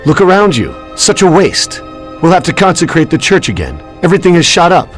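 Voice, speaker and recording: A man's voice: fairly high pitch, very animated, brisk, faint music behind. An actor playing a solemn, moralizing fatherly priest in a video game cutscene. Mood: distressed, pleading. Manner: solemn, preachy.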